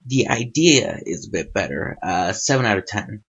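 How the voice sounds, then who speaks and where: A man's voice: lowish voice, sing-song, moderate pace, fairly bright tone, breathy edge, lo-fi sound. A man giving an informal spoken review as a host, rambling and hesitant as he thinks aloud. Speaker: host of a fanfiction reading series.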